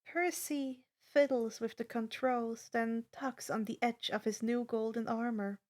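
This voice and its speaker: Woman reading fanfic out loud, higher voice, real slow pace. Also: fairly even intonation. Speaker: fan fiction narrator. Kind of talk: scripted story narration.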